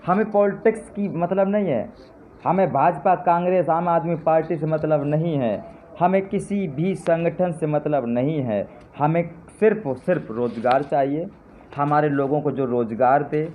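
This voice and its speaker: A man giving an impassioned live address to his supporters, with fervent, repetitive appeals that rise to indignant jabs. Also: fairly high pitch. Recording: tinny phone-line sound with faint noise.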